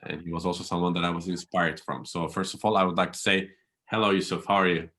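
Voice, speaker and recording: Deep-voiced host. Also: male voice, flat delivery, fast, sharp tone. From Turkey. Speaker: podcast host. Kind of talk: interview podcast.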